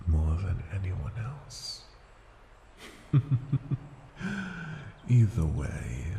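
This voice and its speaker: A man playing a proud, ancient dragon in an ASMR fantasy roleplay, speaking formally and deliberately in a gravelly, at times breathy voice. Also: sits low, mellow, full character voice. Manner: slow, formal, deliberate, imperious. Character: ancient male dragon, proud, unhurried, intrigued by humans. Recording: cavernous long reverb, faint ambient noise.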